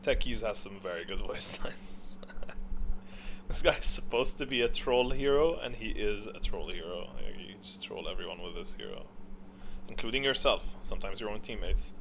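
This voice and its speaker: Male game tier-list host, slightly low voice, off-the-cuff and halting, some background noise. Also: vocal fry, fairly bright tone. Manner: hesitant, rambling, stop-start delivery. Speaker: video host ranking game voice lines. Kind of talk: casual unscripted tier-list commentary.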